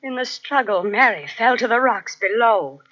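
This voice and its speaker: An actress playing a fanatical, coldly devoted nurse, quietly unhinged, in dramatic old-time radio mystery style. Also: female voice, fairly high pitch, lively intonation, normal speed. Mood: grim recounting. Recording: muffled old radio cassette transfer.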